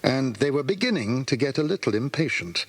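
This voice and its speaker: A man's voice: mid-range voice, sing-song, brisk. A man reading scripted storybook narration as the narrator of a dramatisation. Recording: music under voice, old cassette dramatisation. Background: music.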